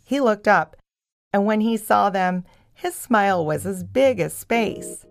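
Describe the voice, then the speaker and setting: A woman's voice: mid-range voice, lively intonation, slow pace, gravelly. A woman storyteller reading scripted narration for a children's audio story.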